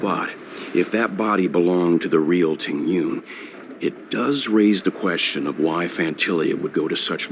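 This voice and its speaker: An actor voicing a calm, wise older mentor in a game, speaking in a measured, grave way. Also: male voice, deep voice, noisy background. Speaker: game character. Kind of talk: game dialogue voice acting.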